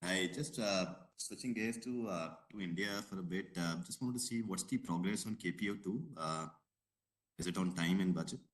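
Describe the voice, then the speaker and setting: A man's voice: low-pitched, moderate pace, poor audio. A man calling in as an analyst, putting detailed questions in tentative, hedged phrasing. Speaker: equity analyst caller. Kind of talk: asking questions on an earnings call.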